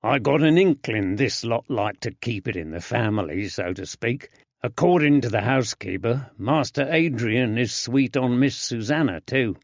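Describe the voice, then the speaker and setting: A man voicing a gruff, grey-browed older constable who talks bluntly and grumbles, in a dull, dry audiobook recording. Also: medium pitch, animated, normal speed, no echo, close to an everyday voice. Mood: grumbling.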